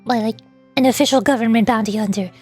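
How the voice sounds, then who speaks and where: A woman's voice: fairly high pitch, fast, darkish tone, breathy edge, faint music behind. A woman chatting casually on a live game stream as a virtual YouTuber, rambling along as she talks. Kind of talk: casual live stream chat while reading game dialogue.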